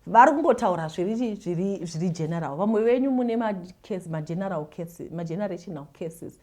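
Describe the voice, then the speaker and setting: A woman's voice: on the low side, lively intonation, brisk, darkish tone. A woman with a Zimbabwean accent hosting a show, telling stories and giving advice casually, with repeated words and acted-out retelling. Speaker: host reading listener confessions and giving advice.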